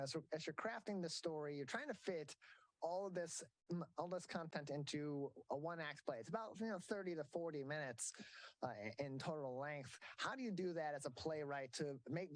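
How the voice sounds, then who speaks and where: A man's voice: a little high, dry recording, low-quality recording. Dry-sounding male TV interview host. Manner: fillers and repeated restarts. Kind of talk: local TV interview.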